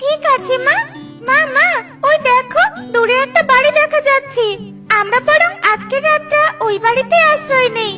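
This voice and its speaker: Woman voicing a tiny, extremely high-pitched cloud-princess doll. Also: normal speed, sharp tone, faint music behind. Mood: earnest. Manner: childlike, pleading. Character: pleading young cloud princess trapped as a doll. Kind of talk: children's cartoon voice acting.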